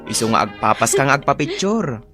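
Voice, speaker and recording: A man playing a gentle young devoted son with an Ilocano accent in a radio drama. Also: mid-range voice, sing-song, fairly quick, lightly acted. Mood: fond. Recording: music behind the voice.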